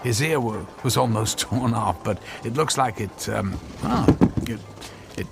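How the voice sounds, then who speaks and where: A man's voice: lowish voice, animated, unhurried, vocal fry, very noisy. An actor playing an anxious, cautious husband in a radio drama, hesitant and stammering as he pleads. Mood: nervous, evasive. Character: anxious, cautious husband, reluctant inventor of a device.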